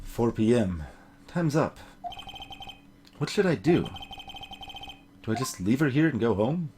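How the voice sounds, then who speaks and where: A man voicing a quiet, concerned young rookie defense lawyer in a video game, hesitant and full of questions. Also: medium pitch, very animated, measured pace. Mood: pensive, unsure. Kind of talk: voiced video game dialogue. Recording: music behind the voice.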